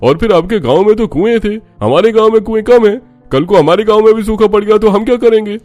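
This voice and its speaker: A man's voice: sits high, quick pace, a little mellow, soft background music, pitched above the performer's own voice, natural voice. A man playing a stubborn village headman in a cartoon story, firm and dismissive. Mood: stubborn, defensive. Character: stubborn village headman refusing to share river water. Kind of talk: Hindi cartoon story dialogue.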